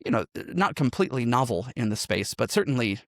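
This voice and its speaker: A man's voice: medium pitch, sing-song, brisk, creaky, no echo, clean studio sound. A man telling his story as a podcast guest in a conversational, reflective way, often checking in with a "right?" as he explains. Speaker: podcast guest, improv teacher and game master.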